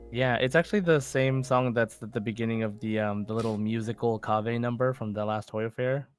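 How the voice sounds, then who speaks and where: Man, mid-pitched voice, podcast host, casual quiz chat, encouraging, music in background. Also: fairly even intonation, brisk.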